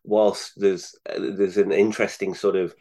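A man with a fairly low voice speaks as an interview guest. He explains things in a casual, measured way, pausing and hesitating between phrases. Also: little pitch movement, mellow. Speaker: guest, sales director.

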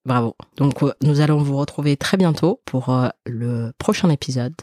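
A woman's voice: a bass voice, moderate pace, warm tone, no echo, clean studio sound. A woman co-hosting a podcast whose first language is Kinyarwanda, speaking in an upbeat, playful way and laughing easily. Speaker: podcast co-host. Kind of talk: casual conversational podcast.

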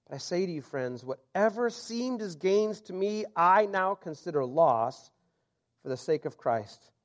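A man's voice: high voice, animated, unhurried. A man preaching a sermon, reading scripture aloud and explaining it with self-deprecating asides.

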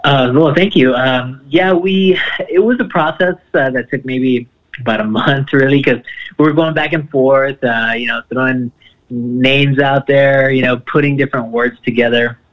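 A man's voice: mid-range voice, moderate pace. Rambling male musician on a muffled line. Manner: rambling, filler-heavy. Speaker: band keyboardist and bassist. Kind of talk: casual interview.

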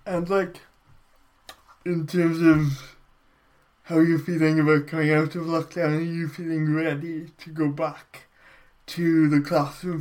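A young man hosting a casual podcast interview, relaxed and asking follow-up questions. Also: fairly high pitch. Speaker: podcast host.

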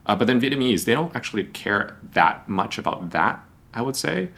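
A man's voice: medium pitch, very animated, normal speed, creaky, fairly bright tone. A young man hosting a podcast, asking long, rambling, conversational questions. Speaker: podcast host. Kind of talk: podcast interview.

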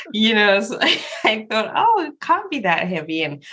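A young adult woman from Zimbabwe telling travel stories in casual chat, laughing often and pausing with many fillers. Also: mid-range voice, sing-song, sharp tone, slightly rough.

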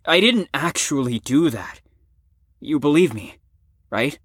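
A young man acting a troubled, self-loathing teenage ex-addict in an emotional audio drama monologue, halting and profane. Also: fairly high pitch, expressive, a bit bright, husky, no room sound, clean studio sound, full character voice. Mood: bitter, hurt. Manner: emotional, halting, profane, swings between bitter and impassioned. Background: music under the voice.

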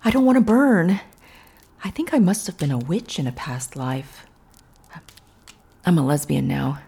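An actress playing a stubborn, wry woman in a stage play who jokes through her fear, with a husky voice and a rambling manner. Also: deep voice, animated, measured pace, mellow, exaggerated voice. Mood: afraid, candid.

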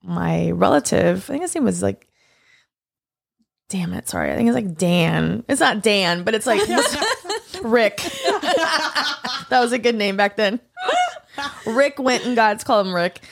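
Woman from east texas, kinda gravelly voice, rambles thru her stories w lots of restarts. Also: medium pitch, expressive, unhurried, pauses often, slight background noise. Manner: rambling storyteller, many restarts. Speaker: guest co-host. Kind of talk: casual podcast chat.

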